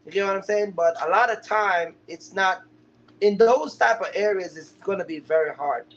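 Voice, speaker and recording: Male livestream mentor, high-pitched, chatty and emphatic, on dull lo-fi audio. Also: moderate pace, fairly bright tone. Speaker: livestream host, trucking business mentor. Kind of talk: casual live chat to viewers. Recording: dull, lo-fi live stream audio.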